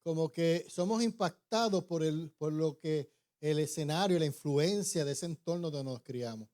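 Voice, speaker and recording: A man's voice: on the high side, moderate pace, mellow. A middle-aged man and pastor, originally an English speaker, preaching in an informal way with frequent restarts and tag questions. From born in New York, raised in Puerto Rico. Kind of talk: conversational sermon.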